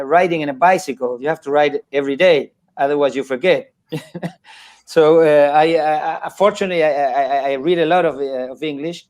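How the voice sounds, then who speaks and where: An older man from Argentina, talking as a guest author on a video call, halting and restarting often with small chuckles. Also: fairly high pitch, darkish tone. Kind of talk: casual chat on a video call.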